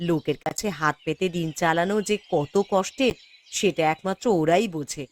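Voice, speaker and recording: A woman's voice: fairly low pitch, normal speed, lightly acted. A woman playing a kind-hearted, sympathetic village wife in a fairy-tale cartoon, chatting in a homely way. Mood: pleased, sympathetic. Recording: background music throughout.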